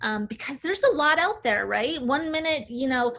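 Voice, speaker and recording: A woman in her forties, an agency founder, telling her career story in a relaxed interview with frequent "uh"s. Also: high voice, normal speed. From United States. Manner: chatty, fluent storyteller with filler "uh"s. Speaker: agency CEO, guest. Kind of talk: casual interview talk.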